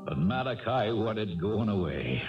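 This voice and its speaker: A man's voice: fairly low pitch, very animated, unhurried. An actor playing a weary, ailing but stubborn horse trainer who tells his own story in a 1970s radio drama. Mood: weary, reflective. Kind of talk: 1970s radio drama acting with first-person narration. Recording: muffled, distant 1970s radio broadcast with music.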